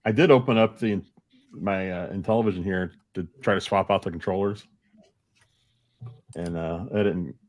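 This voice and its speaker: A man's voice: deep voice, normal speed, long pauses, darkish tone, no echo. A man co-hosting a podcast, chatting casually and telling everyday anecdotes. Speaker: podcast co-host.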